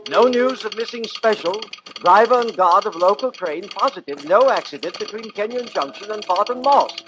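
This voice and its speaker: High-pitched actor as a clipped railway stationmaster. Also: male voice, animated, moderate pace, noisy background. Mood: formal, clipped. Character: railway stationmaster reading out clipped telegram messages. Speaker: actor in a radio drama. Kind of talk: old-time radio drama acting.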